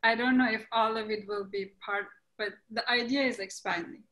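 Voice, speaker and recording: A woman's voice: a little high, steady tone, moderate pace, sharp tone, poor audio. A woman answering questions as a playwright in a casual video call discussion. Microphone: video call microphone.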